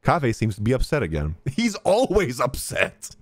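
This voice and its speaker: A man's voice: mid-range voice, huge pitch swings, moderate pace. A young adult man streaming a game indoors, giving casual, enthusiastic, slangy reaction commentary.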